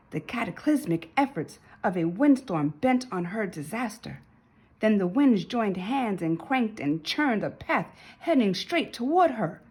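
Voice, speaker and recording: Female narrator for kids' picture book: highly animated pitch, medium register, a touch of rasp, emphatic and dramatic. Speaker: storybook narrator. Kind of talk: expressive children's read-aloud.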